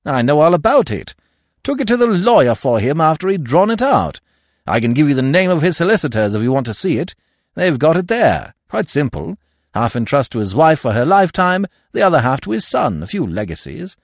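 Audiobook secretary, male narrator acting: loyal, blunt and slangy, pitched up with very animated delivery. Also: brisk, no echo, studio quality. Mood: brisk, assured. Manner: slangy, emphatic, exclamatory. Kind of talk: acted dialogue in audiobook mystery.

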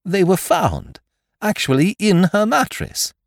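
A man acts out an eager gentleman sidekick in a storybook mystery. He is impatient and easily flustered, his emphatic voice jumping high and swinging widely. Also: normal speed, gravelly, no echo, studio quality, full character voice. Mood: alarmed, bewildered. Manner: emphatic, excitable.

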